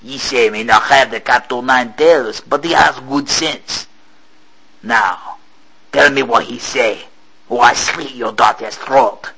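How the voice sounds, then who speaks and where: A man voicing a cruel, commanding ship captain who barks out slow, drawn-out threats in a storybook reading. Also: mid-range voice, creaky, sharp tone, full character voice, poor audio. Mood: menacing.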